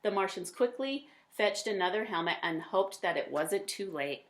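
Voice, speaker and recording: A woman's voice: medium pitch, animated, normal speed. A woman reads a children's storybook aloud with a mid-range voice. She narrates expressively, like a storyteller sharing a picture book with young listeners. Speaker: read-aloud storyteller, teacher.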